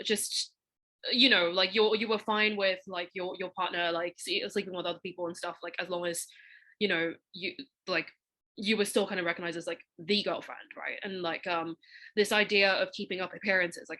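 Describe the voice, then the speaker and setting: A woman's voice: fairly low pitch, pushed and bright. A young woman from Hong Kong hosting a casual chat, rambling with lots of "like" and "you know". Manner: chatty, fills with "like" and "you know". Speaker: podcast host. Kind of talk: casual conversation.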